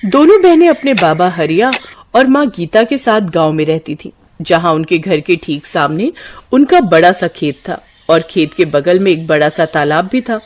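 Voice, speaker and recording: A woman's voice: lowish voice, lively intonation, normal speed. A woman narrating a scripted story as a storyteller.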